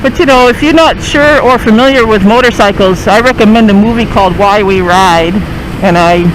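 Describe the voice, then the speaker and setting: A man's voice: high voice, normal speed, noisy background. A man originally from the Northeast US with a mixed American, partly Texan accent, rambling easily with many fillers as he narrates a motorcycle ride on country roads. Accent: mixed American, part Texan. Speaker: motorcycle vlogger. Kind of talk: casual narration while riding.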